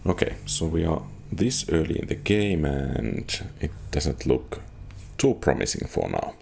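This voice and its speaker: A man's voice: extremely low pitch, sing-song, a little slow, creaky, darkish tone, a little hiss or hum. A man giving casual gameplay commentary, muttering as he thinks aloud. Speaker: gaming video host.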